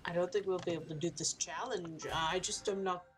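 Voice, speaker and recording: A woman's voice: on the low side, steady tone, fairly quick, background noise. A woman streaming a video game, chatting casually to her viewers in a rambling running commentary full of jokey asides. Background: faint game music.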